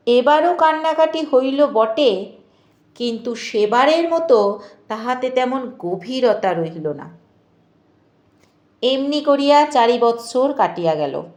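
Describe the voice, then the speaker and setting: Woman reading a novel out loud, really slow n expressive, highish voice, echoey. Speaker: audiobook narrator. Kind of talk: dramatic literary novel narration. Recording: echoey voice with music behind. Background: music.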